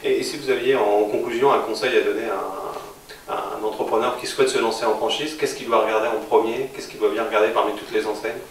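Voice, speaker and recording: Male interviewer, fast delivery, slightly distant from the mic with faint room noise. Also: lowish voice, fairly even intonation, echoey room. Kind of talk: interview questions.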